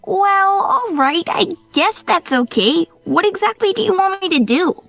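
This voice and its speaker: A woman's voice: very high voice, animated, measured pace, creaky, a bit bright, soft background music, full character voice. A woman playing a wary, plain-spoken young female cartoon pegasus pony in a dramatized horror story reading. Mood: casual, doubtful. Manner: casual, forthright, pleading.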